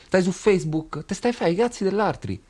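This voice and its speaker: A man's voice: high-pitched, a little fast. An actor playing a laid-back young man who preaches a selfish guru philosophy, speaking slangy Roman Italian with sudden emphatic bursts. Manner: slangy, emphatic, swings from calm to shouting. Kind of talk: scripted comedy sketch.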